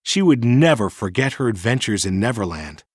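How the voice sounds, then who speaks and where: A man reading a children's storybook in an animated, dramatic storytelling manner. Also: on the low side, normal speed, breathy edge, dry recording, studio quality. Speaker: storybook narrator. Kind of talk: scripted children's storybook narration.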